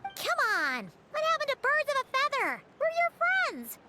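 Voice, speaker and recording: A woman voicing a tiny, childlike floating companion in a video game, excitable and chatty with a squeaky voice. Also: a little slow, very noisy, heavily acted. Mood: insistent. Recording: loud game music behind. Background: game music.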